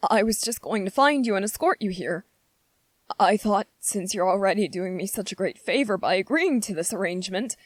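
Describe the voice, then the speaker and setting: A woman voicing a gentle, anxious young prince in a fantasy ASMR roleplay, speaking politely and formally with hesitant, halting phrasing. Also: medium pitch, no echo, close to an everyday voice. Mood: eager, courteous. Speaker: voice actor in audio roleplay. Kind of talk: ASMR character roleplay, scripted fantasy monologue.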